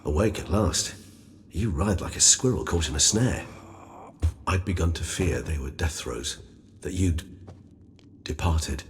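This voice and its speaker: An actor playing an erudite vampire gentleman in a video game, with a formal, politely inquisitive manner. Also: low-pitched, a little slow, pauses often. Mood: concerned, wry. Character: erudite, courteous vampire gentleman, measured and thoughtful. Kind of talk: video game dialogue acting.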